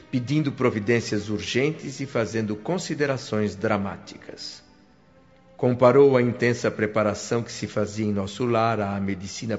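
An actor narrating a radio drama in first person, calm and measured. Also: male voice, mid-range voice, highs cut off, lightly acted. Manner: calm, measured, softening to a hush. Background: faint music.

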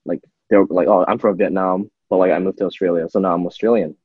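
A man's voice: sits low, fairly even intonation, fast, breathy edge, dry recording. A young adult man chatting casually in a discussion, on a dull recording. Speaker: discussion participant. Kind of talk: casual chat. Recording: dull, muffled audio.

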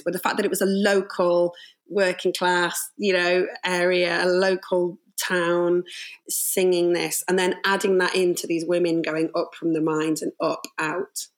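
A woman with a slightly croaky voice, telling stories about making radio drama in a relaxed podcast chat. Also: fairly low pitch, moderate pace. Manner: warm storytelling, emotional at moments. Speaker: radio drama producer and director. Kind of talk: casual podcast conversation.

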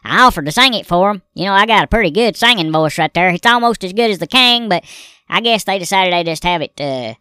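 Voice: mid-range voice, animated, fast, sharp tone, clean tone, no echo, studio quality. A folksy Southern redneck husband. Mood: grumbling folksy. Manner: folksy drawl, rambling storytelling with gripes. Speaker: recurring comedy character. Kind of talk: comedic character monologue.

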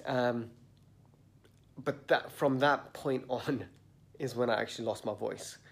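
A man with a slightly blocked nose hosting a motivational podcast, talking in an earnest, slow and deliberate way and stressing his words. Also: medium pitch, gravelly. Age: adult, a parent. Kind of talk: reflective motivational monologue. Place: sitting indoors at dawn.

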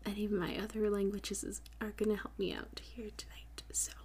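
A woman podcast host whispering softly in an ASMR style, breathy and unhurried, reading out and repeating words. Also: mid-range voice, flat delivery, a little hiss or hum. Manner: soft, unhurried, repeats and spells words slowly.

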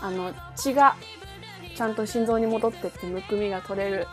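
A woman's voice: medium pitch, moderate pace, faint music behind. A woman hosting a casual book chat podcast, talking in a chatty way and thinking aloud as she goes. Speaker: podcast host; singer.

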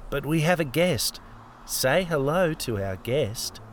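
A man voicing an ancient old woman, a mocking, theatrical grandmother with a croaky edge, in an audiobook over music. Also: on the high side, expressive, slow, slight background noise, low-quality recording. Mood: doting.

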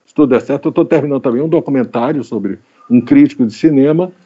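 A man with a mellow, darker voice talks casually as a guest, looping back over his words and leaning on fillers. The sound is a bit dull, as if carried over a video call. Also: medium pitch, fast talker, husky. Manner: rambling, repeats words with many fillers. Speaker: guest, writer and journalist. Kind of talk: casual interview chat. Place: home during pandemic.

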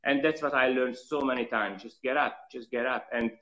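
A man who is not a native English speaker, with a slight European accent, telling his life story earnestly in an interview and pausing often on fillers. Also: mid-range voice, steady tone, a bit bright, muffled recording. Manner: earnest, reflective, halting with fillers. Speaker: guest.

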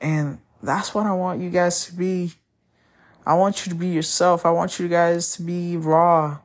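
A woman whose first language is Spanish, hosting a podcast and talking candidly about a breakup, often pausing to find her words. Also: fairly low pitch, fairly even intonation. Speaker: podcast host. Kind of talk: personal monologue.

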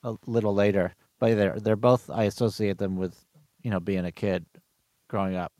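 A man chatting casually, giving short replies and reminiscing in a relaxed way. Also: on the low side, normal speed, no echo. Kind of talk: casual chat.

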